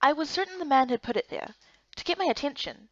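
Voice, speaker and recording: Stubborn, earnest young heroine for a fantasy game, acted by a woman: highish, expressive, swinging melody, dry sound. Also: fast, dry recording, lo-fi sound. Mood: thoughtful. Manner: expressive, emphatic delivery. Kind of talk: visual novel game character dub.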